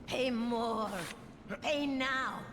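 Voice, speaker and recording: A woman's voice: high voice, steady tone, drawn-out words, heavy background noise, exaggerated voice. An actress playing a greedy old woman guide in a video game, with a Spanish accent and a terse, insistent manner. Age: older. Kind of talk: video game cutscene acting. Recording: game music behind.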